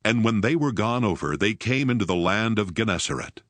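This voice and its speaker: A man narrating scripture in a measured, solemn, scripted style. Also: fairly low pitch, lively intonation, a bit bright, no echo. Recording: music bed behind voice. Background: music.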